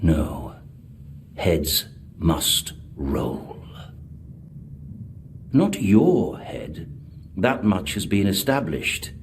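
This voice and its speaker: A man playing a cold, calculating overseer in a video game, instructing in a measured, quietly threatening way. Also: sits low, very animated, slight background noise, full character voice. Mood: menacing. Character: cold, calculating male overseer, menacing and commanding. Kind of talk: video game character acting.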